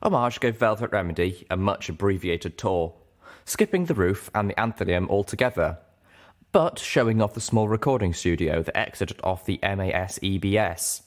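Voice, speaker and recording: A man's voice: sits low, normal speed. A man reading a first-person story aloud as an audiobook narrator, in scripted narration.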